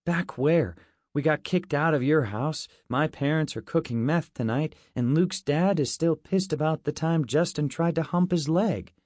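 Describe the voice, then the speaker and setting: A man's voice: a little high, a little slow, a little mellow, dry recording, studio quality, pitched above the performer's own voice. A man voicing the wisecracking boy hero of a comic story, blunt and sarcastic.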